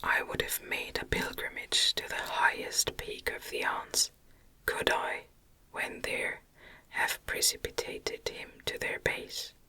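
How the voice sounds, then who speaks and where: Female ASMR narrator for gothic literature: fully whispered, very unhurried, gentle measured phrasing. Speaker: audiobook narrator.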